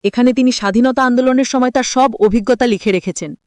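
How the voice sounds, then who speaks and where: A woman voicing a thoughtful, earnest elderly grandfather in a story, in a measured, reflective manner. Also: fairly high pitch, darkish tone, no room sound, natural voice.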